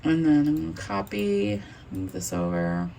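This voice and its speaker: A woman casually demonstrating embroidery design software step by step, thinking aloud as she clicks. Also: low-pitched, big pitch swings, measured pace, vocal fry, a little hiss or hum. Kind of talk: casual screen-recorded software tutorial.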